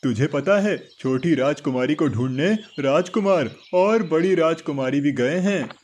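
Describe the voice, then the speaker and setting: A man's voice: fairly high pitch, animated, unhurried, darkish tone. A man voicing a chatty local townsman in a cartoon folk tale, informal and explanatory. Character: chatty local townsman giving news and directions.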